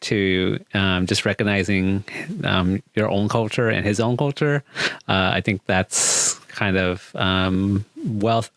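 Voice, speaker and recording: Low-ish thoughtful male podcast host. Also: steady tone, slow, a bit bright, dry recording. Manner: thoughtful, lots of ums and restarts. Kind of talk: casual podcast chat.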